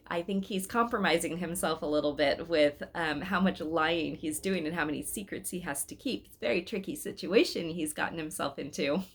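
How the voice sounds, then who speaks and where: A woman's voice: on the low side, fairly bright tone. A woman hosting a read-aloud, narrating a storybook expressively with casual asides. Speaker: storybook reader, host.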